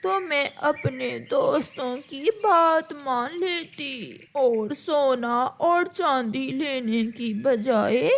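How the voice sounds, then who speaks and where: A woman's voice: high-pitched, very slow, hardly any pauses, a little hiss or hum, full character voice. Woman doing a whiny greedy crow wife. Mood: tearful. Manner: whiny, tearful and boastful. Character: greedy vain female crow who regrets it.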